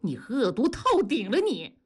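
A woman's voice: medium pitch, sing-song, measured pace, breathy edge. A woman voicing a hot-tempered husband in an audiobook, indignant, defensive and blustering. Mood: furious. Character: hot-tempered husband, an indignant adult man, shouting back. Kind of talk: audiobook character dialogue.

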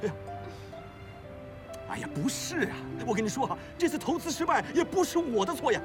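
A man's voice: very high voice, sing-song, fairly quick, music in the background. Flustered, wheedling middle-aged father. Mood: wheedling, defensive. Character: cowardly, blustering gambler father pleading for rescue. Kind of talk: TV drama acting.

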